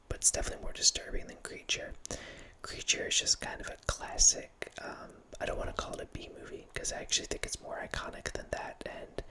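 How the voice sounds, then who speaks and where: A man in his thirties hosting a whispered ASMR ramble, speaking softly right into a close studio microphone in a small home room, with lots of self-corrections. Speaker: ASMR channel host. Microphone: close studio microphone, wearing headphones.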